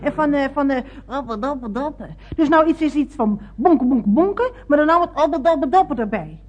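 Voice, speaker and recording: A woman's voice: high voice, animated, fairly quick, a little mellow. An actress playing a scatterbrained, cheerful, chattering woman in a radio comedy serial, with a giggly, naive manner. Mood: chatty, puzzled. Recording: dull band-limited old radio recording.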